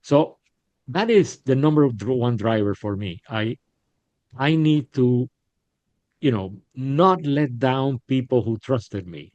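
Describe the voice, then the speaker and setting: A middle-aged man from Puerto Rico, a podcast guest telling stories in a candid, animated way with frequent restarts. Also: medium pitch, slow, no room sound. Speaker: podcast guest, business leader. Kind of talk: casual interview storytelling. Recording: dull, lo-fi sound.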